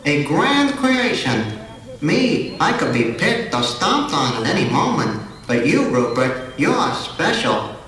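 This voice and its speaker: A man voicing a wry, kindly talking flower in a puppet show, gently reassuring and a little preachy. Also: a little high, very animated, slow pace, heavily acted. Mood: earnest, encouraging. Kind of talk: scripted puppet show dialogue. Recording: roomy echo, distant mic, faint noise.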